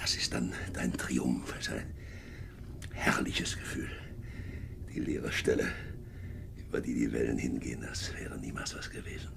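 Gravelly, deep-voiced old sea captain. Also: male voice, flat delivery, moderate pace, pauses often, in a whisper, heavily acted. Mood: hushed, obsessed. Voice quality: gravelly, creaky low voice. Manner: brooding, clipped, swinging from hearty to menacing murmur. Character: hardened older sea captain, war veteran, domineering father. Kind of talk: 1950s German radio drama acting. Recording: noisy 1950s radio recording.

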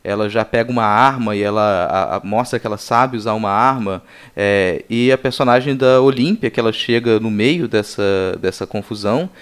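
A Brazilian man on a podcast panel talking casually and analytically about film, in halting explanations. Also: lowish voice, smooth.

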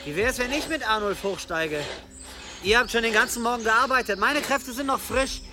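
An actor playing an inquisitive, intent young pilgrim with a hidden grudge in a German audio drama. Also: male voice, very high voice, normal speed, noisy background. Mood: probing. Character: probing young pilgrim, secretly out for revenge.

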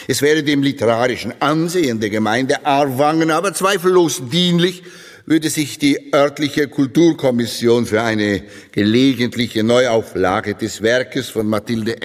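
A man's voice: mid-range voice, close to an everyday voice. Man playing a pompous lit lecturer, mock-solemn, emphatic, big hall reverb, satirical radio piece. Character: pompous literary lecturer eulogizing an obscure lady diarist. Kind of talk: satirical scripted lecture with read-out quotations.

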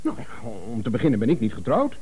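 An actor playing a timid, jealous office employee in a radio comedy, hesitant, stammering and deferential. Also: male voice, medium pitch, wildly swooping pitch, fast, mellow, slightly rough. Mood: flustered, pleading. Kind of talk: 1970s Dutch radio comedy acting.

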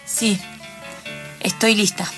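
A woman's voice: mid-range voice, little pitch movement, slow, bright, forceful tone. A woman playing a nervous, dreamy, polite job applicant in an improvised radio play. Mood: nervous, hopeful. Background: music.

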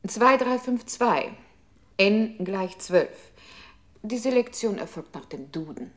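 Computer control unit, female, radio play: unhurried, exact, impersonal, procedural delivery. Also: medium pitch, lively intonation. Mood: coolly procedural. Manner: impersonal, precise, reads numbers and instructions out. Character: computer's control unit, female, coolly methodical, issuing commands. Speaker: announcer of protocols and commands in a radio play. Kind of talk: clipped procedural announcing, 1960s German radio drama.

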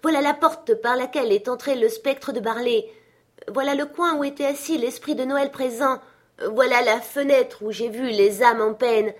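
A woman's voice: a little high, moderate pace, darkish tone, close to an everyday voice. A woman voicing a giddy, reborn old miser in an exclamatory, breathlessly exuberant storybook reading. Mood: excited wonder.

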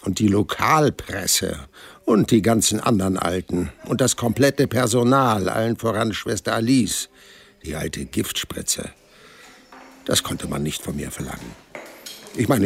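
An actor playing a wry, stubborn hundred-year-old man who narrates his life in a dry, deadpan way in an audio drama. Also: low-pitched, unhurried, creaky, husky, exaggerated voice. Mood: wry, grumbling. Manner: dry, deadpan, matter-of-fact storytelling.

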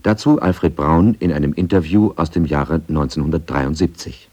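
A man with a low voice narrates a radio feature from a script. His tone is dark and mellow, suited to documentary narration. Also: moderate pace, slightly rough.

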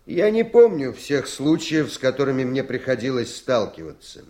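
A man's voice: mid-range voice, sing-song, normal speed, a little mellow, close to an everyday voice. A man acting a veteran police investigator giving formal, factual testimony in a radio play. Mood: matter-of-fact. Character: matter-of-fact male police investigator, veteran witness.

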